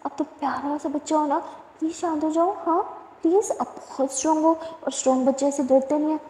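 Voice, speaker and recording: A woman voicing an anxious, caring young woman who softly pleads and soothes, repeating herself. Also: high voice, fairly even intonation, a little fast, close to an everyday voice. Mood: soothing. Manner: soft, pleading, repeats itself. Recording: echoey reverberant room.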